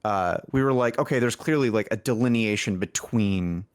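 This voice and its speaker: A man's voice: on the low side, moderate pace, clean tone. Rambling, informal man in casual interview. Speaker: indie game developer and music lead, podcast guest.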